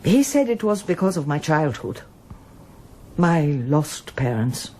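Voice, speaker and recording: An older woman in a radio drama, reserved and wistful. Her voice sits low and has a husky grain. She speaks hesitantly and with understatement, as a one-time performer who keeps much back. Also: sing-song, slow pace, a little mellow, heavily acted. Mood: subdued, confiding. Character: reserved older woman, former pianist and child refugee, wistful. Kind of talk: radio drama acting, monologue and dialogue.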